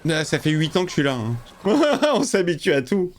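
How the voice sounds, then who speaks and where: A man's voice: a little high, big pitch swings, normal speed. Mocking man reacting to a show. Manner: mocking, laughing, crude asides. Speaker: reaction video host. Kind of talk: sarcastic running commentary over a show.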